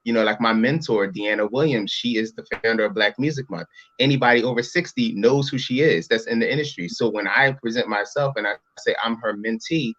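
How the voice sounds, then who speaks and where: A man's voice: mid-range voice, brisk, fairly bright tone, no echo. Late-30s man from Philly, casual interview guest, mid voice, rambling, dry muffled audio. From Philadelphia. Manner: rambling, repeats "you know what I'm saying". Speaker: interview guest, brand entrepreneur.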